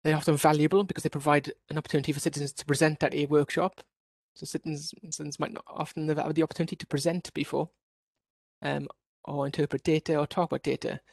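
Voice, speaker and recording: A man's voice: fairly high pitch, little pitch movement, quick pace, vocal fry, no echo. A man from Wales giving an online workshop presentation as a researcher, explaining steadily with occasional restarts over a video call.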